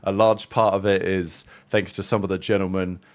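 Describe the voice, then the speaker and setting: A man's voice: sits low, level tone, moderate pace. A man hosting a casual esports podcast, upbeat and presenter-like, running through sponsor and event plugs. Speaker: host.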